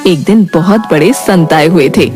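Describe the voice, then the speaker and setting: A woman's voice: fairly low pitch, unhurried, over music. A woman narrating a scripted bedtime moral story.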